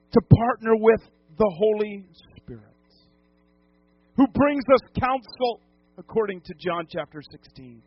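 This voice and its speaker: A man's voice: sits high, slightly rough, highs cut off. A man from New England preaching a sermon as pastor, emphatic, repeating phrases and putting questions to the congregation. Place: church sanctuary.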